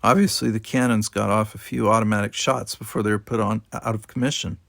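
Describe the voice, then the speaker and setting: A man reads as an unflappable young male cadet in an audiobook. His delivery is calm and matter-of-fact, with a creaky, husky tone. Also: fairly low pitch, brisk, no room sound, clean studio sound. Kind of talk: audiobook character voice.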